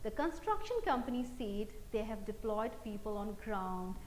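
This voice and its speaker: A woman's voice: medium pitch, expressive, normal speed, darkish tone. A woman with an Indian accent anchoring a scripted news bulletin. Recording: roomy echo, faint background noise.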